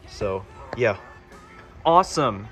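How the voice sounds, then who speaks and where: A man's voice: on the low side, animated, takes it slowly, fairly bright tone, soft background music. A man talking casually through a hands-on DIY electronics project as he presents it.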